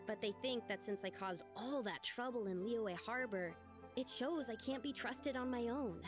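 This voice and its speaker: A woman's voice: on the high side, normal speed, music in the background, close to an everyday voice. An actress playing an earnest, dramatic young lady with big ambitions in a video game, speaking expressively. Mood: glum, resigned.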